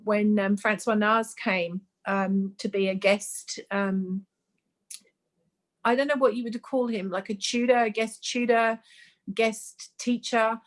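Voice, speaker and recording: A woman in her fifties, born in Sweden and raised in Australia. She talks as a guest in a casual interview, chatty and earnest, with lots of ums. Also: mid-range voice. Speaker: guest, makeup artist.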